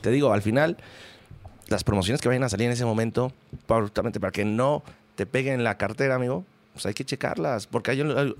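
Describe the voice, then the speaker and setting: A man's voice: medium pitch, brisk. A Mexican man on a panel giving tips in casual, conversational talk. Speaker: panel guest.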